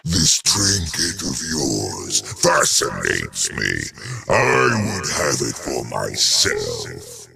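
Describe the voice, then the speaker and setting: A menacing old spectral emperor in a video game, gloating and grandiose. The voice is extremely low and full of gravel, drawn out very slowly with a roomy echo. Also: male voice, huge pitch swings, music in the background, full character voice. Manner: grandiose, taunting, drawn-out delivery. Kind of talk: theatrical video game voice acting.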